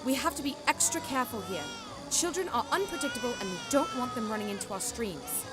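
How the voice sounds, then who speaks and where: A woman playing a brisk, bossy young witch in a radio drama, explaining things emphatically. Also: on the high side, animated, over music, lightly acted. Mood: brisk, concerned.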